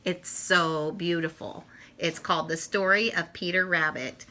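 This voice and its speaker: A woman reading a children's story aloud in an expressive way, with dramatic emphasis for young listeners. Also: lowish voice, unhurried. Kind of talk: children's storybook read-aloud with informal introduction.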